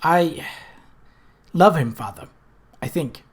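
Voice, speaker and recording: A man's voice: on the high side, expressive, slow. A man voicing an earnest young woman. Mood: anxious.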